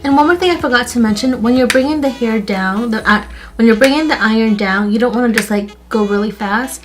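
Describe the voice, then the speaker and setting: A woman's voice: a little high, a little fast, music in the background. A woman with a stuffy nose chatting casually as she walks through a hair tutorial for her channel. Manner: chatty, friendly, repeats "literally" and "like". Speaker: beauty YouTuber.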